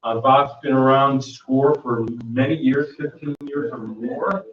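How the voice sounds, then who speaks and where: Booming man presenting in an echoey room. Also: fairly even intonation, unhurried, a little hiss or hum. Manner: loose, rambling delivery with many fillers and restarts. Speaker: volunteer mentor presenting a workshop. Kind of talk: community workshop presentation. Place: meeting room. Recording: dull, echoey room audio from far off.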